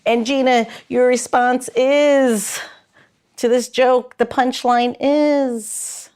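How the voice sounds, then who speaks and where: A woman with a somewhat high voice hosts a podcast. She speaks slowly, in a casual conversational style. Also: fairly even intonation, a little mellow, smooth. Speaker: podcast host.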